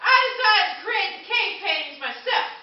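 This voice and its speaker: Puppet show schoolgirl, played by a woman: boastful, breezy, extremely high voice, muffled and heavily echoing. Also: lively intonation, moderate pace. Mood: proud. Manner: breezy, self-satisfied storytelling. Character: boastful, unrepentant schoolgirl time traveller. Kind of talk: amateur puppet show acting. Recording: very echoey room from far off, muffled.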